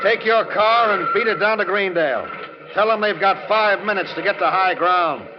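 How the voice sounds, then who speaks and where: Urgent high-pitched army major played by a man. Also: normal speed, lightly acted. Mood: resigned, commanding. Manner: barking, urgent, authoritative. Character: gruff commanding army major at a flood levee. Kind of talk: 1940s radio drama acting. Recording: muffled noisy old radio recording.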